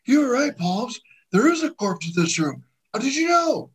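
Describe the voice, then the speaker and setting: A woman's voice: fairly high pitch, very animated, moderate pace, heavy background noise. A woman playing an earnest, easily baffled detective's sidekick, hesitant and astonished, in an old-time radio mystery parody.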